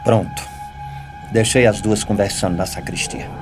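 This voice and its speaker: A fatherly, cautious priest played by a man with a fairly low Brazilian voice. He speaks gently and with measure, radio soap style, over clearly audible music. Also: steady tone, moderate pace, natural voice. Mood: grave.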